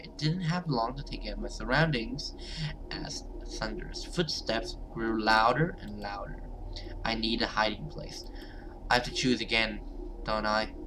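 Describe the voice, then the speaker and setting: A man's voice: medium pitch, noisy background. A man hosting a gaming video, reading story text aloud dramatically and reacting with casual asides.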